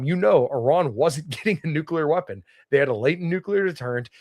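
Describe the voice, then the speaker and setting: A slightly hoarse man hosting a solo political podcast, reading news aloud and bluntly giving his own opinions. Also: a little high, no echo, clean studio sound. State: slightly hoarse, getting over illness. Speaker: podcast host. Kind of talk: solo political commentary livestream.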